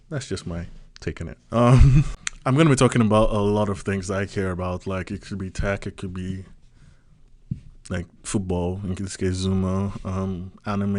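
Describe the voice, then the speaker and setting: Nigerian man, casual vlogger, low-pitched, dry read, rambling chatty delivery. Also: dry recording. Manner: chatty, loose, peppers talk with "like" and "right?". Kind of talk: casual solo commentary.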